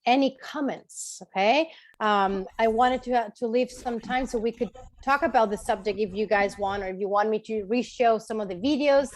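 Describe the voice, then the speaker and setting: A woman's voice: fairly high pitch, normal speed, no room sound. A woman from Chile speaking as a tour guide in an informal talk, explaining and checking in with her listeners. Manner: explanatory, checks in with listeners, right and okay tags. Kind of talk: informal online lecture with question session.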